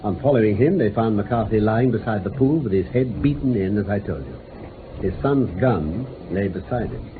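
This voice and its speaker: Gentleman detective , male actor: British, confident, methodical, authoritative, very varied intonation, noisy vintage radio play recording. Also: lowish voice, animated, moderate pace, phone-quality sound, lightly acted. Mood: explaining. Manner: precise, authoritative, expository. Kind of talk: radio drama acting.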